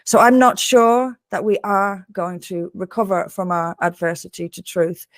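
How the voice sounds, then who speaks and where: A woman's voice: mid-range voice, moderate pace, dry recording. A woman from Dublin with an Irish accent, speaking earnestly as a guest author in an interview, restarting and repeating words as she presses her points. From Ireland. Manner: emphatic, repeats words when building a point.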